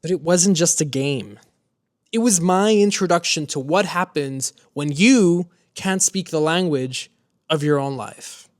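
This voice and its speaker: Man, Spanish native from Mexico, slightly high-pitched; motivational storyteller, scripted, dramatic dynamics. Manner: dramatic, swings between soft and emphatic delivery. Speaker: motivational speaker. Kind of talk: scripted personal storytelling monologue.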